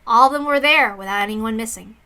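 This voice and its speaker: A woman's voice: fairly high pitch, brisk, pushed and bright, clean tone. A woman reading a visual novel aloud, mixing dramatic narration with casual asides.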